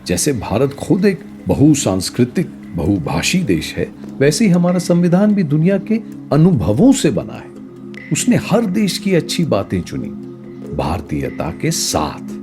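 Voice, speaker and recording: A man's voice: on the high side, big pitch swings, unhurried, warm tone, faint music behind. A man playing a thoughtful political science professor in a radio play, explaining things expressively and emphatically. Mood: explanatory, enthusiastic. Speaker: political science teacher character. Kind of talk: radio play dialogue, Hindi mixed with English.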